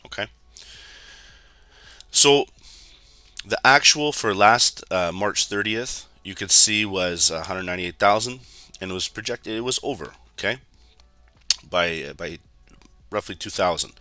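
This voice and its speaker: Hoarse low-voiced man, bright and dry. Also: expressive, unhurried, pauses often, no echo. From Canada. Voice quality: raspy. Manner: chatty, keeps addressing viewers as guys. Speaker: trading educator streaming live. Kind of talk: casual live market commentary.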